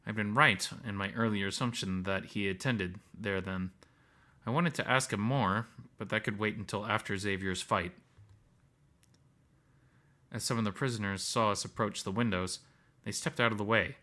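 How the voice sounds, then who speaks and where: A man's voice: fairly low pitch, normal speed, sharp tone. A man reading first-person story narration aloud from a visual novel.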